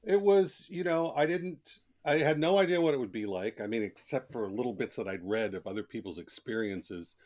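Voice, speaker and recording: A man's voice: medium pitch, moderate pace, few pauses, darkish tone. A man speaking as a guest author in a conversational interview, talkative and reflective, explaining things in plain asides.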